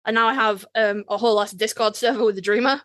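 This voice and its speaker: A woman's voice: fairly high pitch, fairly even intonation, quick pace, no room sound, studio quality. A woman co-hosting a podcast in casual, candid chat, wry and sweary, acting out little dialogues with herself. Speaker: podcast co-host.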